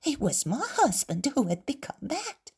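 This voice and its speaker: A woman voicing a gently consoling village woman. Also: high voice, wildly swooping pitch, measured pace, pitched above the performer's own voice, full character voice. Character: grateful woman whose husband was healed, consoling.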